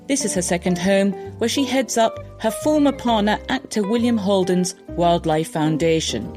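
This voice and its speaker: Polished interview host over soft music. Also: medium pitch. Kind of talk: scripted introduction then interview.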